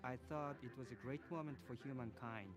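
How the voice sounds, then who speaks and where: A man's voice: medium pitch, brisk. A man from Japan, a native Japanese speaker, talking earnestly and carefully about his path. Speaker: astronaut. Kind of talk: recorded personal profile. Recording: music bed under voice.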